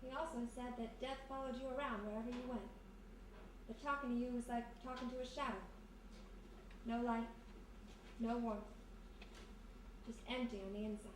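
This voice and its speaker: A woman playing a bold, quick-witted young lady sleuth in a period radio mystery. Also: on the high side, steady tone, lightly acted. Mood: wary, defiant. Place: live performance in a historic house. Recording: very echoey live stage, distant, noisy.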